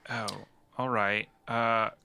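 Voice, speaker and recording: A man playing an awkward, weary, self-deprecating fugitive in a tabletop game, speaking haltingly. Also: mid-range voice, level tone, bright, forceful tone, clear, resonant tone, dry recording, exaggerated voice. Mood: weary, embarrassed. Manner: halting, hesitant.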